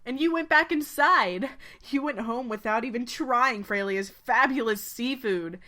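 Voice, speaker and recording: A woman playing a friendly young female pegasus knight in a game fan dub, with a gentle, familiar way of talking. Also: a little high, measured pace. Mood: teasing. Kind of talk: acted game dialogue.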